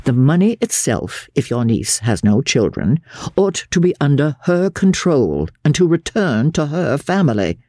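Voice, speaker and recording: Man voicing a stern, emphatic, indignant gentleman lawyer. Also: on the high side, big pitch swings, a little slow, no room sound, clean studio sound, pitched above the performer's own voice. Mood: exasperated, urgent. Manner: formal, emphatic, insistent. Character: stern, indignant male lawyer, exasperated but self-controlled. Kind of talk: dramatised audiobook character voice.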